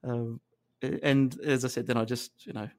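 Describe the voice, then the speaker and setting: Halting, self-deprecating Australian man. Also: medium pitch, steady tone. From northern New South Wales, Australia. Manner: halting and self-deprecating, many restarts and fillers, chuckles. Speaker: guest. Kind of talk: reflective conversational interview.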